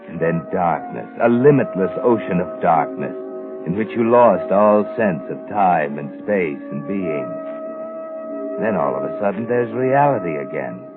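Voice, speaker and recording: Creepy all-knowing suspense narrator, lowish taunting voice, old radio sound w/ music behind. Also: male voice. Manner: mocking second-person address. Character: sinister, taunting omniscient male narrator, ominous and knowing. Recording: muffled 1940s radio recording with music.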